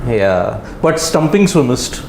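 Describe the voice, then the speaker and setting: A man on a live cricket panel who talks in a matter-of-fact way and is quick with figures. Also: mid-range voice, big pitch swings, vocal fry, echoey room, background noise. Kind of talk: live studio panel discussion.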